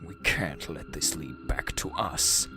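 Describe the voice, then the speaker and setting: A man playing a deep-voiced sneering villain leader. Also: huge pitch swings, heavily acted. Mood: commanding. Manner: sneering, mocking, unhurried. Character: scheming male villain leader, cold and condescending. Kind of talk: fan audio drama acting. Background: music score.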